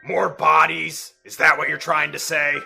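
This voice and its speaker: An actor playing a brooding, sarcastic detective with a short temper and a gravelly voice, in a radio drama. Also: male voice, on the high side, level tone, a little slow, sharp tone, faint music behind, full character voice. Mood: angry, sarcastic.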